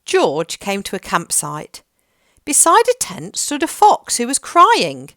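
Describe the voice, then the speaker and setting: Slow, gentle woman reading a storybook. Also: a little high, no room sound, clean studio sound. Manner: gentle, expressive. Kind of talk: children's picture-book reading.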